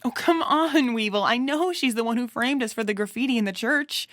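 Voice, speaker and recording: A woman's voice: on the high side, fairly quick, a bit bright, no room sound, close to an everyday voice. A woman playing a bold, flippant pony novelist in an audio drama, speaking in a teasing, wry way. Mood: insistent.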